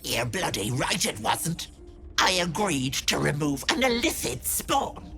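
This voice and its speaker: A woman's voice: deep voice, sing-song, unhurried, soft background music, full character voice. A woman playing a crotchety, cunning old hag in a video game, scolding and imperious. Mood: indignant. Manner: sharp, scolding, self-important.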